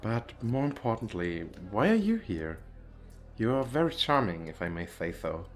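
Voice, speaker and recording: A man's voice: lowish voice, big pitch swings, normal speed, soft background music. A man playing a nervous, bashful, well-off young businessman on a date in an ASMR roleplay, with hesitant, stammering speech. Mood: polite, charming. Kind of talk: ASMR roleplay, one-sided conversation with the listener.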